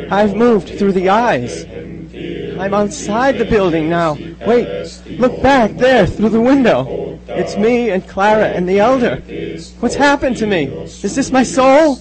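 A man's voice: high-pitched, poor audio. A man playing a doubting, bewildered young man in a radio horror drama, earnest and wondering. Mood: panicked.

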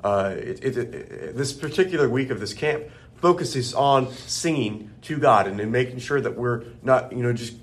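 Man with a deep bass voice. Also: lively intonation, normal speed. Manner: friendly, conversational, checks in with "right?". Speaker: podcast co-host. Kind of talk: casual religious discussion.

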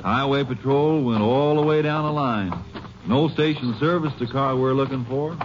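A man's voice: mid-range voice, normal speed, natural voice. An actor playing a loyal, observant lawman sidekick in a 1950s radio drama, clipped and plain-spoken. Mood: businesslike. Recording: muffled, noisy 1950s radio recording.

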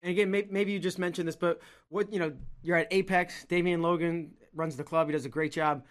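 Higher-pitched easygoing male host. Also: steady tone, a little fast. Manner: easygoing, loosely phrased questions. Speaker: podcast host. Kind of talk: casual interview questions.

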